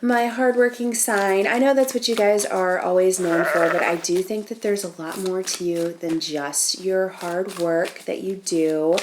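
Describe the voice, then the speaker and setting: A woman's voice: lowish voice, fairly even intonation, unhurried, hardly any pauses, noisy background. A woman hosting a casual tarot reading, nasal and sniffly from a cold, chatty and full of ums and restarts as she talks through each card. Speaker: tarot reader and channel host.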